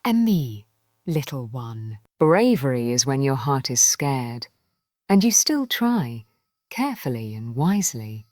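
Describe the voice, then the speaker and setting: A woman voicing a big, wise male storybook bear in a gentle, patient, reassuring manner. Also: a bass voice, sing-song, a little slow, dry recording, clean studio sound, full character voice.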